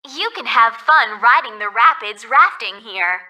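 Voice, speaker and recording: Female resort ferry guide, high voice, very bright, courteous, upbeat, scripted video game announcements, slightly distant. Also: expressive, measured pace, heavily acted. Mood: cheerful, informative. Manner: polite, clearly scripted announcer delivery.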